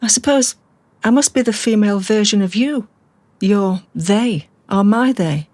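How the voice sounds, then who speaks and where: A woman's voice: medium pitch, darkish tone, no room sound, lightly acted. An actress as a coolly composed captive woman. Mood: guarded. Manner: measured, matter-of-fact, precise. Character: composed, rational captive mother, coolly detached. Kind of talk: radio drama acting.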